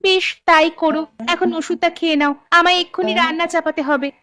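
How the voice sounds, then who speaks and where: A woman as an earnest, very high-voiced village daughter. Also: fairly even intonation, fairly quick, sharp tone. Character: dutiful young village daughter, earnest and determined. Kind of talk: animated story voice acting.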